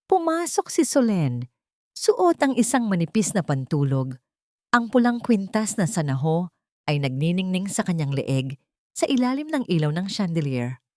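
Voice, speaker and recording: A woman narrates an audio drama with solemn, measured delivery. Her pitch sits a little low, and the sound is dry, with no room echo. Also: darkish tone. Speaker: storyteller, narrator.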